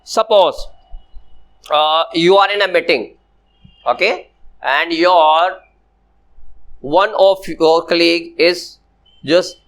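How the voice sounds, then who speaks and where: 男性教师，印度英语口音，在教室里讲课，声音偏高. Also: male voice, a little high, a bit bright. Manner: slow, repetitive, often asks "Clear?". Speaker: personality development teacher. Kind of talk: classroom lecture to students.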